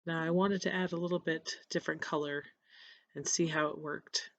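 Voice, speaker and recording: A painter with a cold, hoarse and scratchy, casually narrating a voiceover of their painting process with halting restarts. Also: medium pitch, fairly even intonation, a bit bright, muffled recording. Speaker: encaustic painter. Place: voiceover recorded apart from the footage.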